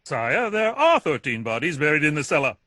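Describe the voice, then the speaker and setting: A man's voice: a little high, very animated, brisk, bright, forceful tone, no echo, clean studio sound, full character voice. An actor playing a boisterous, deluded nephew who believes he is President Roosevelt, in a theatrical radio comedy. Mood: pompous, eager.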